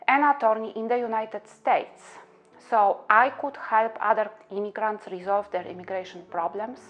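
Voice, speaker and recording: Polish-accented woman attorney, earnest and measured. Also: mid-range voice, muffled recording. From Poland. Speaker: immigration attorney. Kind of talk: scripted promotional monologue.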